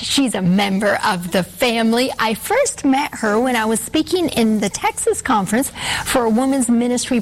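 A woman's voice: fairly high pitch, slight background noise, rough recording. A woman hosting a faith-based interview program, speaking in an earnest, effusive way. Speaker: host of a religious interview program. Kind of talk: warm faith-based talk show interview.

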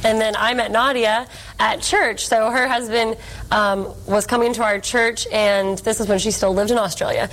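Animated, heartfelt woman in her thirties. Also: a little high, moderate pace, rough recording. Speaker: guest, speech therapist and ministry leader. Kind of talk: casual interview talk.

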